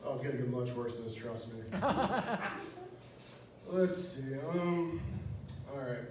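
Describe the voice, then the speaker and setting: A man's voice: mid-range voice, a little slow, darkish tone. A young man from Wisconsin performing a stand-up comedy set, telling crude, self-mocking jokes with a lot of "you know". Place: small club stage, echoey room. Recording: echoey room, distant mic, faint noise.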